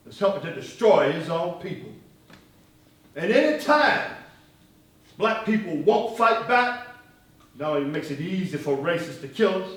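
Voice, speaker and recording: Heard far off in a very echoey hall, a male stage actor plays a defiant militant leader with a high-pitched male voice. He argues like an accusing orator, leaning hard on words and pausing deliberately. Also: fairly bright tone. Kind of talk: live stage play acting. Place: stage in a reverberant room, recorded from afar. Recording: echoey hall, distant microphone.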